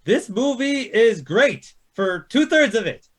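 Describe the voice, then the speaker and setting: A man's voice: extremely high pitch, sing-song, takes it slowly, a crowd in the background. A man chatting casually about movies as a panelist, blunt and opinionated, swearing heavily as he rants. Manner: heavily profane, blunt, ranting. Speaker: panelist ranking films on a chat show.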